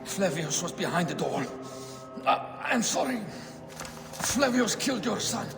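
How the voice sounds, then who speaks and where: A man's voice: high-pitched, very animated, measured pace, gravelly, over music, full character voice. An actor playing a wounded, remorseful man in a game cutscene, strained and weakened as he gives warnings. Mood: remorseful, weak. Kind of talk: dramatic video game cutscene acting. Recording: echoey hall, long reverb.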